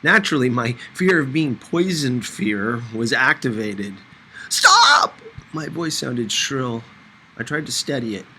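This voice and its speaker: A man's voice: mid-range voice, expressive, unhurried, sharp tone. Man narrating a novel, faint background noise. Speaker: audiobook reader. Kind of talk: scripted first-person novel narration.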